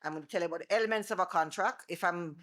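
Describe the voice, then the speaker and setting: A middle-aged woman with a Jamaican accent gives a seminar talk in a loud, animated way, working through examples for the room. Her voice sits on the lower side, and she moves around the microphone as she lectures. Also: racing. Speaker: attorney and former bar president giving a seminar. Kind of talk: seminar presentation. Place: seminar room before an audience. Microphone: microphone she moves away from.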